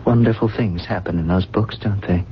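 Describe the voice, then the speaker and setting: An actor in a radio drama playing a gentle, detached, wistful man without a past, speaking in a musing, halting way. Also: low-pitched. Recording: dull, noisy 1970s radio recording.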